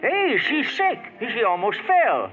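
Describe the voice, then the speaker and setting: Brief: a nosy old codger, high and lively, tinny radio sound. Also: male voice, unhurried, over music, exaggerated voice. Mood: grumbling. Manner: folksy, curious, grumbling. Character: nosy, grumbling older country man. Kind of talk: 1940s radio drama acting.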